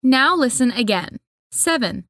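A woman announces the questions of a listening test, reading very slowly and deliberately. Her voice is bright and a bit high, with a lively melody. The sound is close and dry. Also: dry recording. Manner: slow, deliberate reading of instructions. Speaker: test narrator.

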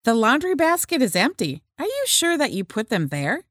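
Woman reading scripted lines, lively pitch. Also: normal speed, dry recording, studio quality. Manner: clear, evenly paced scripted reading. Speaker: listening test voice actor.

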